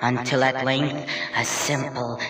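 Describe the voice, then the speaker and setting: An actor playing a gleeful, unhinged murderer who insists he is sane, in a theatrical horror-story reading with halting, swinging delivery. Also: medium pitch, level tone, close to an everyday voice. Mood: stealthy, tense. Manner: chuckling, halting, swinging from hushed creeping to frenzied. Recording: roomy echo, distant mic, music underneath. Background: music.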